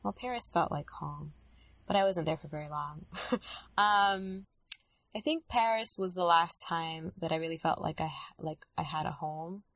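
A young woman speaking reflectively in casual conversation, with many pauses and restarts. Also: low voice, a bit bright, dry recording. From Dominican Republic.

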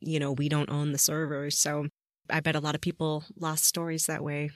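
A woman's voice: low-pitched, monotone, moderate pace, no room sound, clean studio sound. A woman hosting a podcast, chatting casually and enthusiastically with a guest as she interviews. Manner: effusive, enthusiastic.

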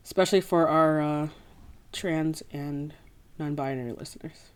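A woman's voice: deep voice, measured pace, smooth. A woman co-hosting a podcast in casual, playful, slangy chat, as if recapping a book for friends. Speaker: podcast co-host.

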